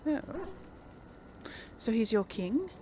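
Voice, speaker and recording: A woman's voice: a little high, big pitch swings, slow, mellow, a little hiss or hum. A woman hosting a casual game playthrough, chatting and thinking aloud hesitantly as she role-plays dialogue. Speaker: gaming host and role-player.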